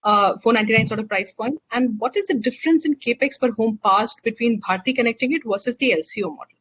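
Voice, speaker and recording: Fast, husky woman moderating a call. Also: fairly high pitch, a bit bright. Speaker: call moderator reading analysts' questions. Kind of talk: reading out questions. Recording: dull lo-fi conference call line.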